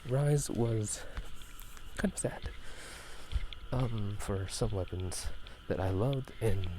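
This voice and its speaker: A man in a hushed, half-whispered ASMR chat, rambling hesitantly as he walks outside at night. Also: fairly low pitch, takes it slowly. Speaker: gaming and ASMR video creator. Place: outdoors at night, walking. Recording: noisy night outdoors, frogs croaking. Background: frogs croaking, night ambience.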